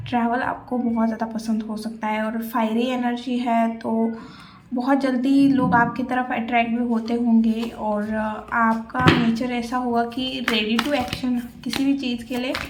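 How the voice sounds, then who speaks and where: A woman's voice: on the high side, slight background noise, low-quality recording. A woman giving a casual tarot reading to camera, chatty and hesitant, with many fillers. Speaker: tarot reader.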